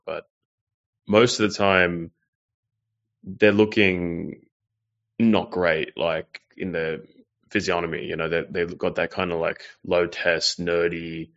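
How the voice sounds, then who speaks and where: A man hosting a livestream and reading viewer messages, talking in a loose, rambling way with plenty of swearing and fillers. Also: sits low. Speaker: livestream host reading super chats. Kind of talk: casual livestream chat. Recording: slightly muffled stream audio.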